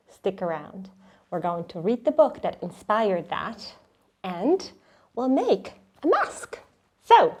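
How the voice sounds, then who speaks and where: A middle-aged woman hosting a children's read-along, telling stories in an expressive, dramatic way that sometimes drops into a hush. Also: medium pitch. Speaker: host of a children's read-along and craft show. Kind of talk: animated storytelling for children.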